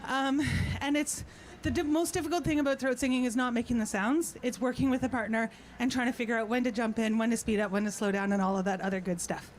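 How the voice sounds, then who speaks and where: High-voiced woman presenting playfully at a noisy festival. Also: steady tone, quick pace, few pauses. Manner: playful, chatty, instructional. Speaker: throat singer and presenter. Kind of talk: informal stage talk to audience. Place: outdoor festival stage at a park. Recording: live outdoor sound, clear background noise.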